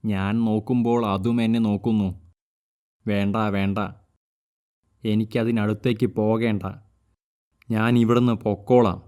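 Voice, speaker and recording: A man's voice: lowish voice, level tone, a little slow, pauses often, dark tone, clear, resonant tone, lightly acted. A man voicing a big male tiger in a cartoon, first menacing and later cowed. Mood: frightened. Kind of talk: cartoon voice acting.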